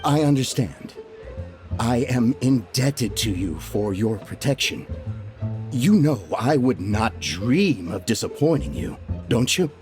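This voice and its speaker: A man voicing an obsequious, scheming gentleman merchant in a video game, deferential and placating in manner. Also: mid-range voice, big pitch swings, slow, music in the background. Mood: servile.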